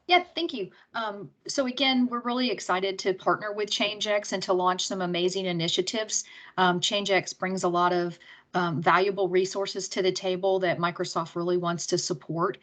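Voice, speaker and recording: Woman, lowish voice, program manager, earnest, lots of ums, video call. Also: steady tone, normal speed, a bit bright. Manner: earnest, filler-heavy. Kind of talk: corporate remarks on a video call.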